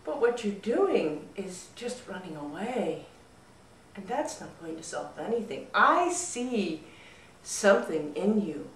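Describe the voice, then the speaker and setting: A wise village healer played by a woman storyteller gives patient, soothing counsel. Her speech is slow and measured, caught slightly far from the mic in an echoey room. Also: medium pitch, very animated, a little hiss or hum. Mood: patient, encouraging. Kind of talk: live storytelling. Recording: roomy echo, distant mic.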